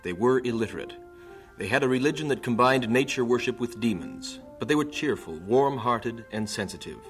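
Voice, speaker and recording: An American man narrates in vintage radio style. His voice is mid-pitched and measured as scripted storytelling, with music playing clearly behind it. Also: animated.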